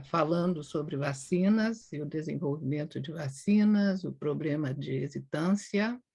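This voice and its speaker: A woman's voice: sits low, warm tone. An older woman with a Brazilian accent, introducing herself formally and courteously as a doctor at an online event. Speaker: panelist, public health doctor.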